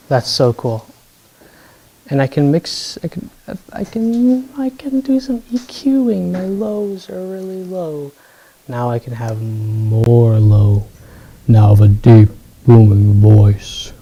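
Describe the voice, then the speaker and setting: A man's voice: mid-range voice, expressive, takes it slowly, mellow, husky, dull audio. A man chatting casually while he sound-checks his streaming gear, thinking aloud and often answering "right".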